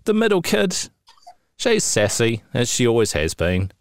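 A man's voice: medium pitch, measured pace, no room sound. New Zealand man, dry close sound. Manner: swears freely, animated storytelling with rising indignation. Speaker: podcast co-host. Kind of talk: casual chat.

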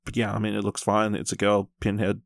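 A man's voice: lowish voice, monotone, brisk, gravelly, no echo, studio quality. A man hosting a podcast and chatting casually about films. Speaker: podcast host. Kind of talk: casual film review chat.

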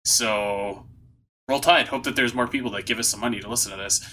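Male podcast host, very bright forceful voice, upbeat and slangy, casual chat. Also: mid-range voice, brisk, slight background noise.

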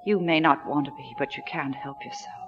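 An actress playing a sympathetic, idealistic young woman in a 1940s radio drama, speaking in a hushed, earnest way. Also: sits low, a little fast, natural voice. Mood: earnest, urging. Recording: dull, noisy 1940s radio recording.

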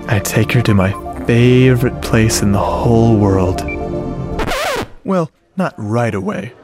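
A man's voice: medium pitch, natural voice. An actor playing a lovestruck, self-doubting audio geek, narrating his romance in short, confiding phrases for a radio drama. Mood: stunned, eager.

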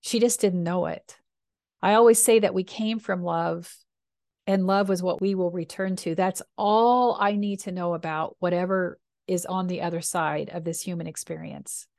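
A woman minister with a deep voice, speaking earnestly and reflectively in slow, deliberate phrases. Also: steady tone, creaky, a little mellow, dry recording, clean studio sound. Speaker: minister and podcast host. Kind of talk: reflective personal talk.

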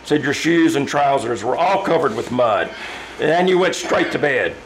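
A man's voice: mid-range voice, animated, normal speed, a little hiss or hum, close to an everyday voice, low-quality recording. A man playing a concerned, insistent office colleague in an old-time radio drama, emphatic and urgent.

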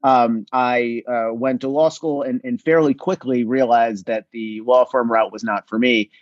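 A man's voice: mid-range voice, fairly even intonation, unhurried, no room sound, lo-fi sound. A male policy expert, born in Israel and raised in New England, whose first language is Russian. He answers in a self-deprecating, conversational way with many restarts. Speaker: think tank policy expert, guest.

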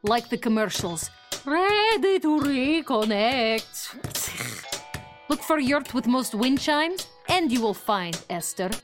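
A woman's voice: high voice, big pitch swings, slow pace, faint music behind, exaggerated voice. A woman doing a blustering crooked male dealer. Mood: conspiratorial. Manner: broken English, yelling at offstage son. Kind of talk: audio fiction comedy acting.

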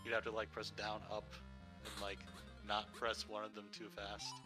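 A man co-hosting a podcast, chatting casually about video games, relaxed and chuckling as he thinks aloud. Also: lowish voice, normal speed, over music. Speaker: podcast co-host.

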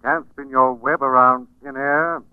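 Vintage radio thriller with a narrow, dry sound. A man plays a mysterious avenger who speaks slowly, with ominous, taunting command. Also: mid-range voice, steady tone, clear, resonant tone, no room sound, natural voice. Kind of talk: 1940s radio drama acting. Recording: dry, narrow-band 1940s radio recording.

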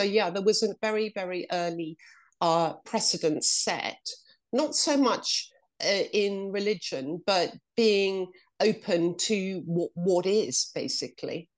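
A woman from Ireland speaking as an interview guest, soft-spoken and reflective, with hesitant restarts, over a video call. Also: on the low side. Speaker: intuitive energy reader, guest. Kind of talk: casual interview talk.

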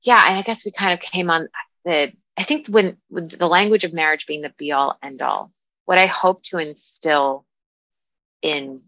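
A woman speaking as a guest coach in a reflective, conversational interview, often halting as she thinks aloud. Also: low-pitched, pushed and bright, dry recording, lo-fi sound. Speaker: podcast guest, dating and relationship coach.

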